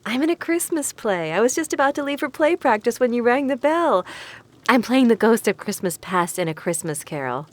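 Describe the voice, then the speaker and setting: An actress playing an amused, kindly, devout neighbor woman in an audio drama, expressive and patient. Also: a little high, normal speed. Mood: patient, explaining.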